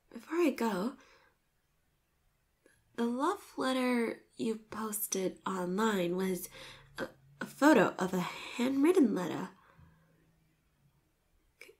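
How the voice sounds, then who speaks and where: A woman acts out a sweet schoolgirl in love, her voice high and swooping. She speaks slowly and shyly, stammering when flustered and dropping into breathy whispers. It is an intimate romantic roleplay, bashful yet insistent. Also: lively intonation. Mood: tender, shy.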